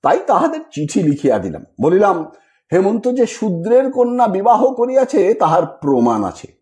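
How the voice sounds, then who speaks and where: A man voicing a shrewd, self-righteous old gentleman who talks in a measured, persuasive way in an audio story. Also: high-pitched, huge pitch swings. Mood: cool, self-righteous. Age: older.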